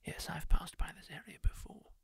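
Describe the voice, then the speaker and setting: A man doing a whispered roleplay, voicing a kindly former war surgeon who soothingly tends a wounded stranger, gentle and reassuring up close to the mic. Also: no echo, lightly acted. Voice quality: soft whisper, slow and soothing. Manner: gentle, reassuring, asks permission. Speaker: ASMR roleplayer.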